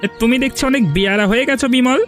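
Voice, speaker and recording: A man's voice: extremely high pitch, fairly bright tone. A man voicing a sly, menacing village landgrabber in a moral-story cartoon. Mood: threatening. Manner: sly, menacing, drawn-out phrasing. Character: scheming, bullying village strongman landgrabber. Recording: music behind the voice.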